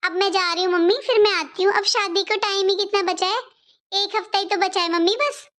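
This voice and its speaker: A woman's voice: extremely high pitch, steady tone, a little fast, a bit bright. A woman voicing an impatient young married daughter in a cartoon family drama, nagging and pressing as she talks. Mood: brisk, pressing. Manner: pressing, complaining.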